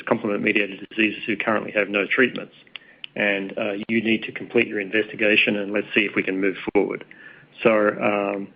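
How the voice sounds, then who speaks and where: Lowish-voiced man on a dull call. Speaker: company executive. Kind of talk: answering questions on an earnings call. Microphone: conference call line. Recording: slightly dull conference-call audio.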